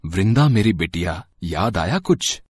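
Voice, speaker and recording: A man's voice: deep voice, lively intonation, a little slow, a little mellow, a little hiss or hum, voice pushed down. A man voicing a kindly older village father who weaves baskets in a cartoon story, affectionate and encouraging.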